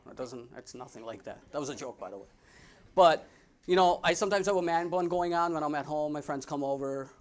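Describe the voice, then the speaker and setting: A man's voice: a little high, fairly quick. A young man with a Midwestern American accent giving a casual, joking slide presentation to an audience, with frequent fillers and restarts. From United States. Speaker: guest speaker.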